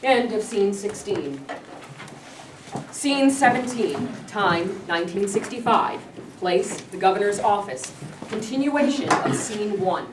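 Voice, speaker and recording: A woman's voice: mid-range voice, lively intonation, slow. A woman reading the stage directions aloud in a plain, matter-of-fact way. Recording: echoey room, read from far off, noisy.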